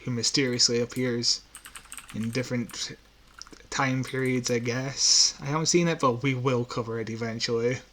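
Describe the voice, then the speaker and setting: A man's voice: medium pitch, fairly even intonation, moderate pace, fairly bright tone. A man chatting casually as a podcast co-host, explaining trivia like a keen fan. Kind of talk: casual chat.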